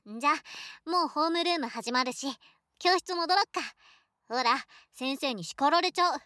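A shy, affectionate student, once a boy and now a girl, acted by a woman, speaks in a very high, soft voice. The delivery is emotive, with wide swings in pitch, as in an intimate situation voice drama addressed to a best friend. The sound is close and very dry. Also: expressive, moderate pace, no echo. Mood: relieved, grateful. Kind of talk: Japanese situation voice drama acting, intimate one-sided dialogue.

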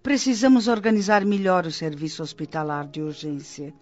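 A man with a Brazilian accent playing a wise, patient spirit mentor in a radio drama, teaching in a measured, reassuring way. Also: a little high, little pitch movement, a little mellow, lightly acted. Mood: patient, earnest. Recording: dull lo-fi radio drama, music behind. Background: music.

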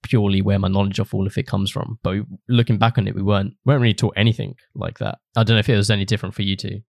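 A young man interviewing a guest in a relaxed, hesitant, casual way. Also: fairly low pitch, very fast, gravelly, no echo, clean studio sound.

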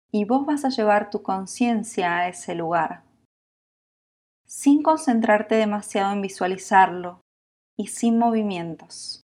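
Very slow Latin American woman guiding meditation. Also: mid-range voice. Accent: Latin American Spanish with voseo. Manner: calm, soothing, unhurried instruction with long pauses. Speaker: yoga nidra meditation guide. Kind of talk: guided sleep meditation.

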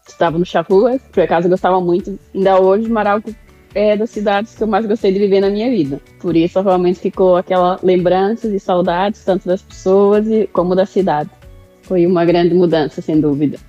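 Brazilian woman with a Portuguese-tinged accent, mellow. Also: mid-range voice, steady tone, dull audio, faint music behind. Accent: Brazilian with European Portuguese touches. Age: mid-30s. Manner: reflective, halting, with restarts. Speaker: guest. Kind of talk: remote interview.

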